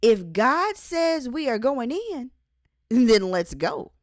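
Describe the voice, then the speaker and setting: A woman reading their own devotional book aloud as an author, with measured, deliberate and emphatic phrasing. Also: fairly high pitch, sing-song, dry recording, clean studio sound. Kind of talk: devotional audiobook narration.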